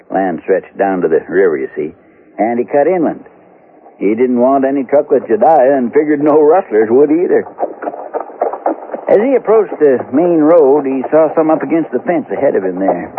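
Rustic American ex-lawman, folksy radio-western storyteller, rough-edged voice, thin vintage radio sound. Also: mid-range voice. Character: folksy former frontier sheriff telling a grisly tale. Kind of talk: 1970s radio western acting. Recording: muffled, phone-like old radio recording.

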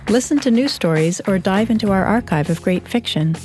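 A woman's voice: mid-range voice, lively intonation, a little fast, a little mellow. A woman, a magazine fiction editor, reading a scripted promo for a literary podcast. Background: light music.

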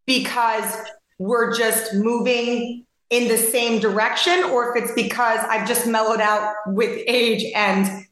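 Hoarse, animated woman host in an echoey room. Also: fairly high pitch, slow pace. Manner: animated, laughs often, tells stories by analogy. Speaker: podcast host and lawyer. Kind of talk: casual podcast interview.

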